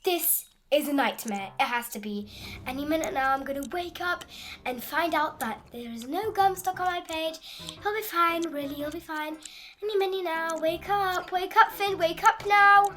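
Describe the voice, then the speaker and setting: A woman's voice: sits high, fairly bright tone. A woman voicing a fussy, dramatic, easily panicked male frog in a children's storybook, with theatrical, scolding delivery. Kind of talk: animated children's storybook read-aloud.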